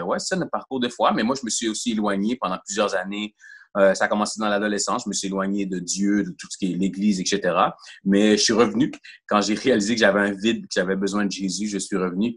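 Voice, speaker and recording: A man in his thirties with a Quebec French accent and a low voice. He talks haltingly, with pauses and restarts, as he answers questions. He is heard through a laptop on a video call from a room at home. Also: fairly bright tone. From Montreal, Quebec. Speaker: incoming church staff member. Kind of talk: casual video-call interview. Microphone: laptop camera on a video call.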